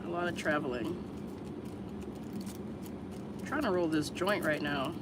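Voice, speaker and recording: A woman's voice: deep voice, measured pace, heavy background noise. A woman in her thirties from the Chicago area, chatting bluntly and candidly with viewers on a casual livestream and swearing freely. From Chicago area, USA. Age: 30s. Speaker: vlogger answering viewers live.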